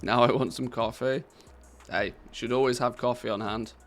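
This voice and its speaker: A man's voice: mid-range voice, fairly even intonation, normal speed, soft background music. A British man chatting casually on a live game stream, reading out and answering viewers' questions with easy laughter. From Britain.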